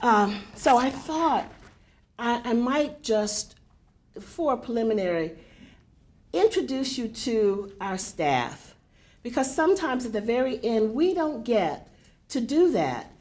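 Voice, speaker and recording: A woman's voice: a little high, very animated. An older woman directing a poetry center, giving chatty welcoming remarks with a big voice and many fillers to a live audience in a university hall. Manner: warm, chatty, unhurried with frequent fillers.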